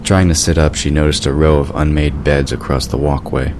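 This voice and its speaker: A man's voice: a bass voice, flat delivery, fairly quick. A man reading scripted story narration for an audio drama. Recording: clearly noisy background.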